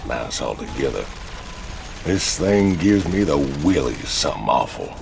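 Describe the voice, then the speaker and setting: Gravelly, rumbling space outlaw. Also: male voice, very animated, moderate pace, full character voice. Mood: uneasy, grumbling. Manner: blunt, grumbling. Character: big, rough, uneasy male space outlaw. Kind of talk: video game cutscene acting. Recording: clear ship ambience hum behind.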